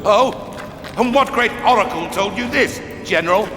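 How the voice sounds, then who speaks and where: Pompous Roman emperor, terrified, wildly swooping voice, echoing hall, video game drama. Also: male voice, high-pitched, lively intonation, normal speed, slight background noise. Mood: paranoid, scornful. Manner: frantic, pompous, theatrical. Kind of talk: theatrical video game acting. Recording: echoey reverberant hall, loud music behind.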